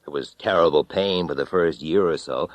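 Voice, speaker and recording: A man narrating a first-person horror story as an audiobook reader, theatrical and intense, swinging between hushed and loud delivery. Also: a bass voice, moderate pace, dry recording.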